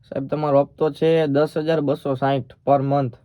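A man's voice: fairly high pitch, little pitch movement, a little slow, dark tone, no room sound. A man with a Saurashtra Gujarati accent making a prank call as a polite, persistent bank employee. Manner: polite, persistent, official-sounding. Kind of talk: prank phone call in casual dialect.

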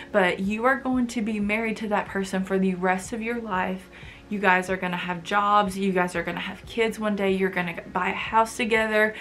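A woman's voice: medium pitch, a bit bright. A woman of twenty chatting casually to camera from her college bedroom, frank and full of fillers. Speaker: vlogger.

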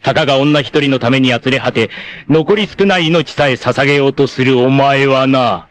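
A man's voice: normal speed, fairly bright tone, natural voice. A man playing a vain, narcissistic, treacherous martial-arts villain in a 1980s anime, with a haughty, theatrical, mocking delivery. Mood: mocking contempt. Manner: theatrical, haughty, mocking, erupting into shrill outrage. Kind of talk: dramatic 1980s anime voice acting.

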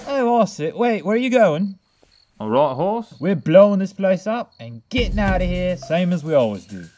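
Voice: mid-range voice, sing-song, moderate pace, warm tone, natural voice. An actor playing a sardonic, self-serving young male traveling merchant with a dry, sarcastic manner in a comedic anime parody dub. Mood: deadpan, sarcastic. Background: music.

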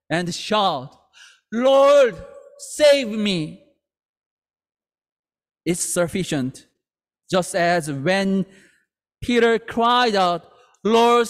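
Slow earnest pastor in a reverberant hall. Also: male voice, high voice. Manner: slow, earnest, with halting restarts. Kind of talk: sermon. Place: church sanctuary.

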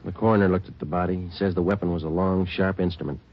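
An actor playing a terse, hard-nosed police detective in an old radio crime drama, clipped and commanding. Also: male voice, sits low, steady tone, fast. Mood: grim, flat. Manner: clipped, commanding, matter-of-fact. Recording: muffled old radio recording, loud music and effects.

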